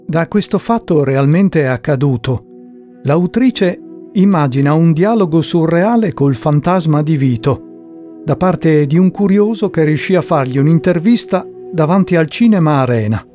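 A man's voice: a little high, animated, normal speed, warm tone. A man reading scripted narration for a radio drama, with music under his voice.

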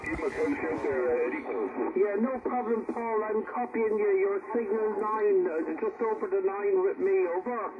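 A man's voice: high voice, normal speed, a loud crowd. A man with an Irish accent chatting casually as an amateur operator, heard through a single-sideband radio transmission. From Ireland. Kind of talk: casual two-way radio chat. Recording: muffled, very noisy shortwave radio reception. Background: loud static and interference.

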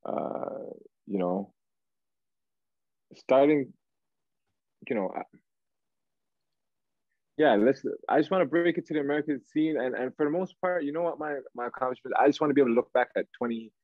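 A young man from Toronto, Canada, chatting casually as an interview guest, halting and repeating words as he thinks, over a video call. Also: mid-range voice, lively intonation, no echo. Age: young adult. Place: indoor room at home, video call. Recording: narrow, phone-like video call audio.

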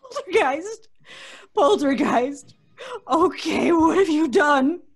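A hysterical, shrieking high-pitched girl. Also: animated, slow pace, heavily acted. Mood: dread. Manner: wailing, stammering, repeats herself frantically. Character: hysterical, superstitious young woman in mortal terror. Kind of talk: old-time radio horror acting.

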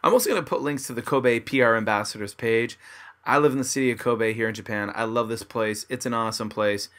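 A man's voice: mid-range voice, quick pace, fairly bright tone. A man from Canada hosting a podcast, chatting casually and upbeat with his listeners and his guest. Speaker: podcast host. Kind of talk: casual podcast intro and interview. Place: his home in Kobe, Japan.

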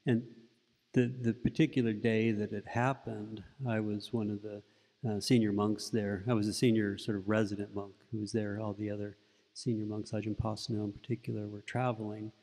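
A soft-spoken middle-aged man, a Buddhist monk, giving a relaxed, rambling talk into a stand mic in an indoor meditation room. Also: lowish voice, brisk, in a large hall. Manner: relaxed storytelling with frequent restarts. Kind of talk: informal dharma talk. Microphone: stand mic close in front.

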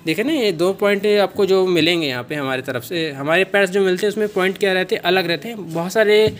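A man's voice: high voice, fast talker, a bit bright, slight background noise. A man, showing off a product on camera in casual talk, with many fillers and self-corrections. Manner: filler-heavy, repeats "kya" questions to himself, self-corrects. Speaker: seller demonstrating a product. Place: small room, indoors.

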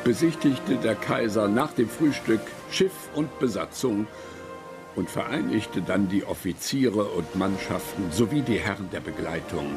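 An actor playing a formal chronicler of an imperial voyage, narrating in a radio drama. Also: male voice, on the low side, unhurried, over music, natural voice.

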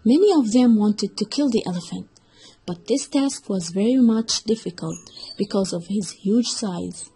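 A woman's voice: mid-range voice, a little slow, mellow, slight background noise, rough recording. A woman reading a scripted children's story as the narrator.

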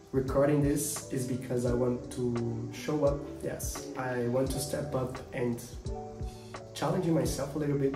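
A man in his twenties from Brazil with a Portuguese accent, speaking English haltingly and earnestly in a casual vlog from his home office. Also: mid-range voice. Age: 20s. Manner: earnest, halting, searching for words. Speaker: vlogger. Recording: roomy, a bit distant, music behind.

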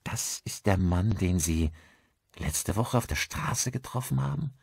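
A man's voice: sits low, expressive, unhurried, vocal fry, slightly rough, no room sound, clean studio sound, full character voice. A man voicing a friendly, hospitable village woman in an audiobook, chatty and emphatic. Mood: puzzled.

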